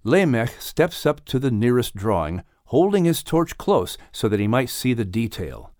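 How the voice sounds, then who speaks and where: A man reading a scripted audiobook story as its narrator, with expressive delivery. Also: medium pitch, normal speed, no room sound, studio quality.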